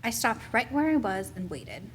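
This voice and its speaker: A woman reading a children's picture book aloud as expressive, deliberate storytelling. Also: a little high, a little hiss or hum.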